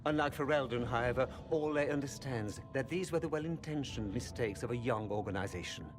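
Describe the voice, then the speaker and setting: A man's voice: mid-range voice, brisk, over music, natural voice. An actor voicing a condescending male ambassador who speaks with lofty assurance in a game cutscene. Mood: haughty. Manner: haughty, condescending. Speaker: diplomat.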